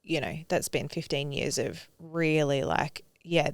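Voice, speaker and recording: A woman's voice: low-pitched, fairly even intonation, unhurried, gravelly, no room sound, clean studio sound. A woman in her thirties co-hosting a podcast, talking casually and upbeat with frequent laughs and fillers. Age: 30s. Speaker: podcast co-host. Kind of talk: casual conversation.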